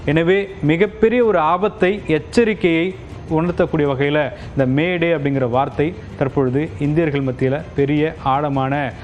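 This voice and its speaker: A male news correspondent with a Tamil accent gives an explanatory report in a slightly high voice. He leans on key words with emphasis. The recording is a little dull, with faint noise behind him. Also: steady tone, measured pace.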